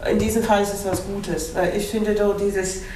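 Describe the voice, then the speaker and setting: A woman whose first language is Belarusian, speaking thoughtfully in a panel discussion and pausing often with fillers. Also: medium pitch, level tone, dark tone, slight background noise. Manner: thoughtful, hesitant, many fillers. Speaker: translator and moderator. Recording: echoey room from far off.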